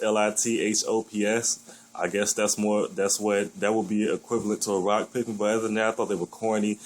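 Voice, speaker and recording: A man with a deep voice giving his blunt, opinionated take on a new game in a casual vlog, swearing freely as he gripes. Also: poor audio. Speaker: gaming vlogger.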